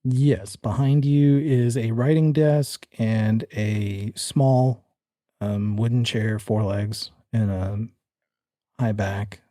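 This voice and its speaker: A man's voice: fairly low pitch, steady tone, slow, mellow, no room sound, studio quality. A man narrating a tabletop horror game as game master, switching between story description and conversational rules calls.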